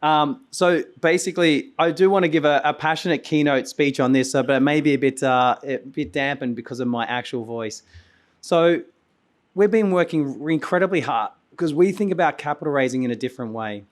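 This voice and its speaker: A hoarse man, losing his voice, hosting a conference and giving a loose, rambling keynote full of restarts and coughs. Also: a little high, brisk. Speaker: conference host and company founder. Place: conference venue.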